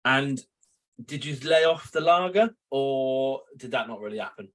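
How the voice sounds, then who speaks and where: A man co-hosting a podcast who teases his mate and laughs easily at the answers. His voice sits at a plain middle pitch in a relaxed chat. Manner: teasing, quick to laugh. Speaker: podcast co-host. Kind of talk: casual chat.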